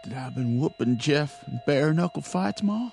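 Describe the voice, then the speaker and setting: An actor playing a sore, whiny young bully with a rural American accent in a radio drama. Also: male voice, fairly high pitch, lively intonation, normal speed, vocal fry, a little mellow, soft background music. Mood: aggrieved. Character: sore, whiny young country bully nursing wounded pride.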